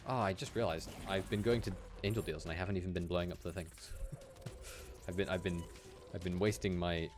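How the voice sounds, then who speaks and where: A man's voice: low voice, fairly even intonation, a little fast, a little hiss or hum. A young man from Wales with a general British accent, chatting casually through gameplay commentary and often laughing as he talks to his viewers. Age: young adult. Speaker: game streamer. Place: indoor room at home.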